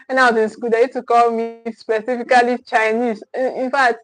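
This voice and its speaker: A woman's voice: fairly high pitch, level tone, a little fast, no echo. A young Nigerian woman from Edo State, hosting a live parenting class in an earnest, emphatic way, often repeating herself and asking "okay?". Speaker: parenting teacher and host.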